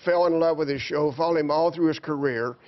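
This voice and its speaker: A man's voice: on the high side, a little fast. A man in his seventies, a guest performer, telling stories in a folksy, chuckling way. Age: 70s. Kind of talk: casual chat. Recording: slightly dull TV studio audio.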